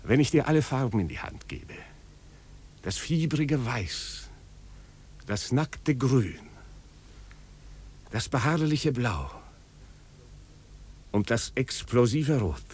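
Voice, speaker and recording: A proud prince facing his downfall, with a medium-pitched voice. He speaks in solemn, lyrical questions with brooding intensity, in the manner of old German radio drama. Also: big pitch swings, takes it slowly, long pauses, darkish tone, husky, heavily acted. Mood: fervent, brooding. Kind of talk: 1950s German radio drama acting, poetic monologue.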